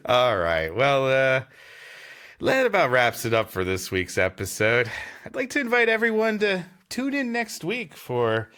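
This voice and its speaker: A man's voice: medium pitch, very animated, a little slow, a bit bright. A man co-hosting a podcast, wryly and dramatically narrating a vintage sci-fi story and joking with his co-host. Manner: wry, laughs easily, dramatic narration.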